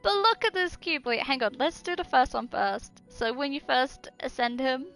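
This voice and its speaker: A woman's voice: high-pitched, lively intonation, moderate pace, fairly bright tone. A woman livestreamer giving casual, playful gaming commentary in a chatty, doting way. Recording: game music behind the voice. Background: video game music.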